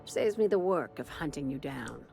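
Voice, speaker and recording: A woman's voice: low-pitched, expressive, moderate pace, gravelly, mellow, faint music behind. A woman voicing a confident, sardonic company executive in a video game, dry and businesslike.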